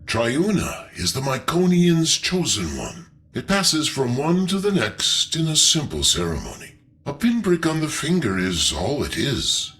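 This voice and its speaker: A man's voice: fairly high pitch, sing-song, a little slow, a bit bright, a little hiss or hum. A man playing a shrewd, eloquent scholarly strategist in a video game, speaking in formal, theatrical phrases. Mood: thoughtful, explanatory. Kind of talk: video game character acting.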